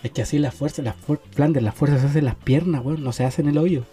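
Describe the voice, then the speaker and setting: A man's voice: on the high side, fast, over loud music. A man with a Chilean accent, co-hosting a casual chat full of crude, teasing banter.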